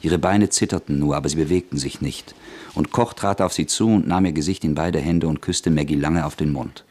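Deep-voiced male radio-play narrator. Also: brisk, a little mellow.

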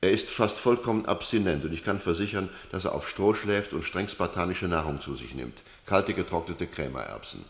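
A man's voice: low voice, animated, normal speed, hardly any pauses. An actor playing a benevolent, learned man who gives a solemn, earnest testimonial in a satirical radio play. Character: solemn male expert witness praising the accused, benevolent, learned. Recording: echoey hall with long reverb.

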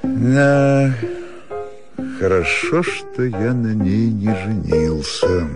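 A weary provincial doctor in a radio drama. He is aloof and sparing with words, low and slow, with music behind him. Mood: relieved musing. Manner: laconic, detached. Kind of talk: Russian radio literary drama.

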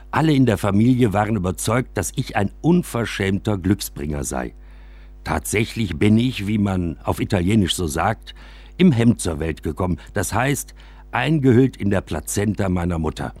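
Low husky guy wry storyteller telling his childhood for a radio play, really animated. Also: no echo. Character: man recounting his railway-side childhood with wry exaggeration. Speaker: narrator. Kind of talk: scripted first-person radio play narration, storytelling.

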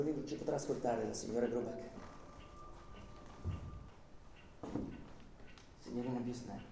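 An actor playing an anxious, over-polite bank clerk on trial in an Italian radio drama, agitated, insistent and deferential. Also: male voice, medium pitch, noisy background, lightly acted. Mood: hushed, pleading. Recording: roomy, distant stage sound with music.

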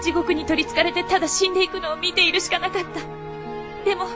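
A woman's voice: extremely high pitch, little pitch movement, fast. An actress playing a tender, emotional, devoted young woman in a radio drama, speaking politely and pleadingly. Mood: anguished, pleading. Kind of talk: Japanese radio drama acting. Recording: dull audio under loud music.

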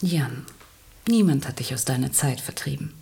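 A woman's voice: extremely low pitch, animated, normal speed, creaky, dark tone, exaggerated voice. An actress playing a weary, exasperated woman impatient with her brooding partner in a German radio play.

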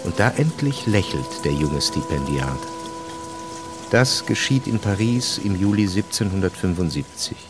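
A man's voice: deep voice, animated, normal speed. A man reading scripted narration for a radio drama. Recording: music bed under the voice.

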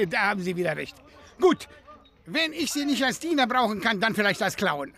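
High-pitched, bombastic circus director. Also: male voice, sing-song, moderate pace, a bit bright, full character voice. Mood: amused, bossy. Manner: theatrical, grandiose, swooping delivery. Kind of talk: radio play acting.

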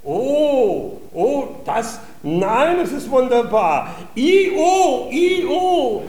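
An actor in a comic radio play, playing a naive, vain gentleman pupil who is childishly delighted and exclaims and repeats himself. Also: very high voice, big pitch swings, very slow, roomy sound, full character voice. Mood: gleeful wonder. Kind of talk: theatrical classic-comedy radio acting.

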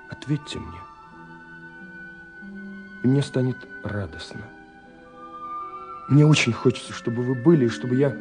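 An actor playing a lovestruck young man who narrates his letters in a lyrical, wistful, intimate way, with pauses, in a romantic radio drama. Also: medium pitch. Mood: yearning, earnest. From Russia. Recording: 1970s radio recording with music.

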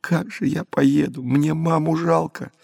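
A man's voice: fairly high pitch, fairly even intonation, unhurried, vocal fry, a little mellow, breathy edge, no echo, studio quality, pitched above the performer's own voice, exaggerated voice. A man voicing a timid, homesick young schoolboy in a storybook, stammering and whimpering as he speaks. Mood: tearful pleading.

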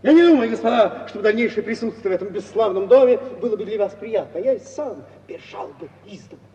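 A scheming husband who acts the injured party. The voice is high and declamatory, coolly cynical underneath, on a dull, reverberant old radio theatre recording. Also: male voice, expressive, mellow, far from the mic. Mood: mock-tragic. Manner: declamatory and mock-tragic, turning brisk and dismissive. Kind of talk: 1950s Russian radio theatre acting.

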